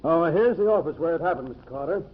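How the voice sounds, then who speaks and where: A man's voice: on the high side, expressive, quick pace, narrow, tinny audio, slight background noise, natural voice. A man playing a formal, self-important county sheriff in a 1940s radio drama, precise and stiff in speech. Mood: businesslike. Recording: thin, band-limited old radio sound.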